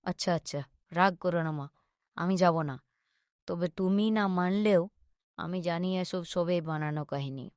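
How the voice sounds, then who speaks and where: A woman's voice: lowish voice, moderate pace, darkish tone, clean tone, no room sound, studio quality, natural voice. Cocky village boy, played by a woman. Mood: reassuring. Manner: defiant, cocky. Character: bold, skeptical village boy who mocks ghosts.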